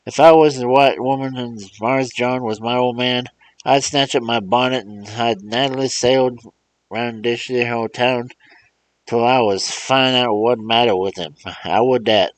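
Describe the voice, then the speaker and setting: A man's voice: medium pitch, steady tone, measured pace, no room sound, pitched above the performer's own voice, natural voice, rough recording. A man voicing an old male servant who rambles in a drawling, superstitious way. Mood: musing. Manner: drawling dialect speech, wondering. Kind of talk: audiobook character voice.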